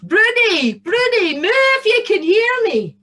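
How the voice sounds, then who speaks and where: A woman with a Scottish accent voicing a stubborn, devoted young farm boy in a children's story, earnest and loud. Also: extremely high pitch, very animated, slow pace, pitched above the performer's own voice, full character voice. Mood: urgent calling. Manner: earnest, calling out loudly.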